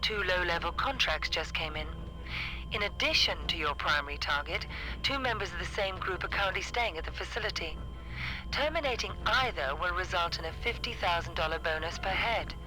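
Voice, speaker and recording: An actress playing a detached mission controller in a video game, giving a briefing in a formal, composed manner. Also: female voice, lowish voice, lively intonation, fairly quick, music in the background, natural voice, low-quality recording.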